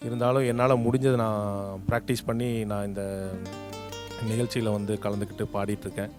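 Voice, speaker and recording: Deep-voiced Tamil Nadu man over music. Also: little pitch movement, a little fast. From Coimbatore, Tamil Nadu, India. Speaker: singing contest contestant. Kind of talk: informal to-camera introduction. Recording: music playing behind the voice.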